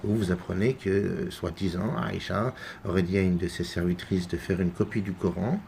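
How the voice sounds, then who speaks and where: A man from France giving unscripted religious commentary, halting and full of repeated words and fillers. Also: sits low, steady tone, creaky, a little mellow, slight background noise. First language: French. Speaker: religious video maker.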